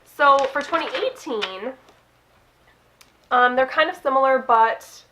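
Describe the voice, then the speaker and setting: Bright-voiced, stuffy-nosed young woman. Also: sits high, lively intonation, slow, slight background noise, rough recording. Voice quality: chatty, many "um"s and little laughs. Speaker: book vlogger. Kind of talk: casual chat to camera.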